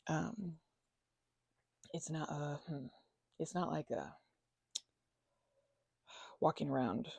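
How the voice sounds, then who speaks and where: Low, husky, creaky-voiced woman housemate acted. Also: slow, darkish tone, no echo, heavily acted. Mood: animated, musing. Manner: rambling, hesitant, playful, giggly. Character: chatty, supportive female housemate, weary from a migraine. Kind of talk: intimate ASMR roleplay, casual conversational delivery. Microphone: close microphone, dry.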